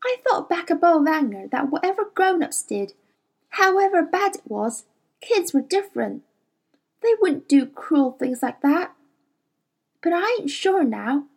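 A woman's voice: extremely high pitch, big pitch swings, unhurried, darkish tone, voice pushed up, heavily acted. A woman reading an audiobook, voicing a spirited, stubborn girl of about twelve who talks hotly and defiantly. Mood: earnest. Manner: hot-tempered, defiant, rough-spoken.

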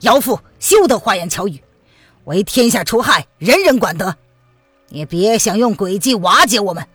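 A woman as a stern, forceful martial hero. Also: medium pitch, very animated, moderate pace, husky, dry recording, soft background music. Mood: stern, urgent. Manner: forceful, declamatory. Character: stern, righteous, angry male martial-arts hero. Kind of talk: dramatised audiobook acting.

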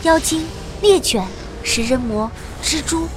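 A woman's voice: sits high, lively intonation, takes it slowly. A woman playing a young adventurer who narrates her story in a dramatic audio-drama style. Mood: tense, dramatic.

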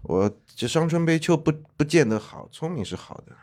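A man's voice: on the high side, expressive, a little fast, a little mellow. A man guesting on a casual podcast, an author telling stories in a blunt, wry way. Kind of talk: casual chat.

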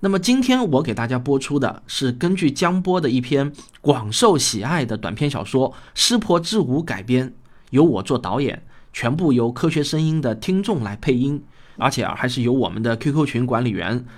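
A man's voice: medium pitch, expressive, moderate pace, darkish tone. A man hosting a programme, introducing it conversationally and speaking straight to listeners. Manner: conversational, addresses listeners directly. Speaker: host and audio drama director.

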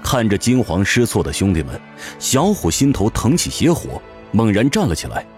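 A man's voice: on the low side, huge pitch swings, normal speed, soft background music. A man narrating a fantasy audio drama from a script in a theatrical, suspenseful manner.

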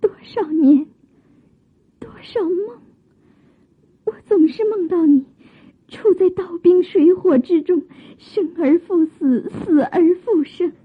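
An actress playing a delicate, sorrowful young maiden in a Chinese radio drama, with plaintive, drawn-out, theatrical delivery. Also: female voice, extremely high pitch. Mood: tearful, grieving. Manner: plaintive, drawn-out, breathless exclamations. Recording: dull, lo-fi radio drama recording.

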